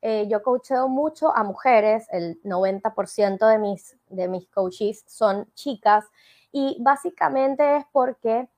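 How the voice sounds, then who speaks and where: Venezuelan woman, coach guest in an interview; higher register, chatty explainer with "¿no?" tags. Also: takes it slowly, darkish tone. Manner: explanatory, many fillers and tag questions. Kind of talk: interview conversation.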